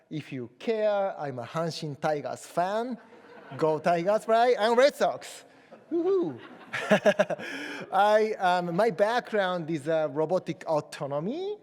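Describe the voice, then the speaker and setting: High-voiced Japanese-accented man in a hall. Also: lively intonation, slow pace, darkish tone. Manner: jokey, playful asides. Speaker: panel moderator and robotics engineer. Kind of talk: moderating a science panel. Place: conference hall.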